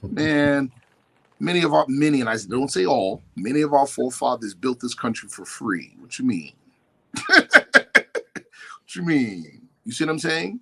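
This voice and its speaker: A man's voice: mid-range voice, a little slow, creaky, long pauses. A man speaking as a guest on a talk show, lecturing emphatically and mimicking other people. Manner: animated, emphatic, quotes definitions and mimics others.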